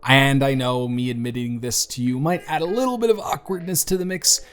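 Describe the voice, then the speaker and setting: A man acting out an earnest young guy in love with his best friend, in an intimate audio drama. He talks haltingly and conversationally at a medium pitch, with music playing softly behind him. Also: smooth, natural voice. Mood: earnest, confessing. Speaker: audio roleplay performer. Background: background music.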